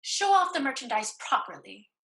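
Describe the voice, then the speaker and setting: A woman's voice: high voice, sing-song, moderate pace, fairly bright tone, exaggerated voice. A woman acting in a stage play reading as a devoted, cautious sister who blames herself. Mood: teasing, affectionate. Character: anxious, devoted, limping sister, cautious and self-blaming.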